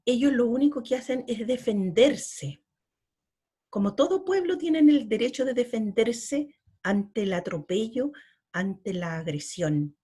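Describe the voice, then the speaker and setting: A middle-aged woman from Chile, a poet being interviewed, speaking slowly and earnestly and often repeating her words. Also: fairly high pitch, animated, dark tone, husky. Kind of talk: reflective interview talk.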